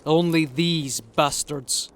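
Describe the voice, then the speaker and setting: Man acting a slow, brooding Viking warrior. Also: fairly high pitch, steady tone, slight background noise. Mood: grim, weary. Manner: slow, grave. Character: brooding superstitious male Norse warrior, deferential. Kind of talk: audiobook character acting.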